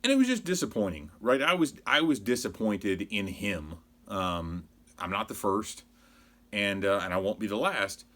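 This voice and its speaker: A man in his forties with an American accent and a slightly low voice talks casually, like a sports blogger hosting his own show. He rambles conversationally through his opinions and sounds exasperated. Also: sing-song, normal speed, fairly bright tone. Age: 40s.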